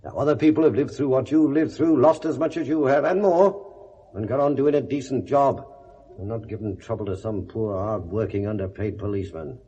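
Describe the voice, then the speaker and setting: Blunt, husky British police inspector played by an actor. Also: male voice, medium pitch, a little fast, mellow, close to an everyday voice. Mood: gruff, consoling. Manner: sardonic, lecturing, blunt and slangy. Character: blunt world-weary police inspector, gruff but kindly. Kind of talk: 1970s British radio drama acting. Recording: dull vintage radio recording.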